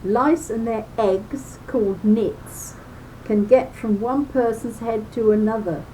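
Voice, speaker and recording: An older woman reading a children's booklet aloud in a deliberate, instructive way, with chatty personal asides. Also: mellow. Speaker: reader of a children's health booklet. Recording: noisy home recording with low rumble.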